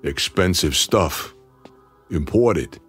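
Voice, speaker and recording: Vengeful radio drama heavy: low voice, sardonic, hard-boiled menace, short mocking lines. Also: male voice, expressive, drawn-out words, a bit bright, husky, faint music behind, full character voice. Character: menacing, sardonic male tough guy out for revenge.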